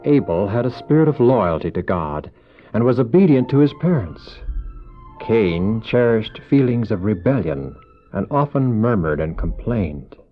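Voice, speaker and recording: Expressive man narrating, muffled tape. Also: on the low side, unhurried. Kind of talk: scripted storybook narration. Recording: muffled old cassette with music.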